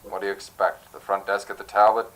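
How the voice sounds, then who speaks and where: A man's voice: fairly low pitch, level tone, quick pace, a bit bright, natural voice, rough recording. A man playing a tired, sarcastic police switchboard officer in a noir radio drama, with a weary, droning manner. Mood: bored sarcasm. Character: tired, monotone, sarcastic male police desk operator.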